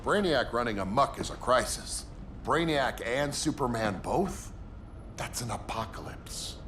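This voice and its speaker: Measured mentor, calm and sober. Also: male voice, mid-range voice, slight background noise. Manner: measured, lecturing.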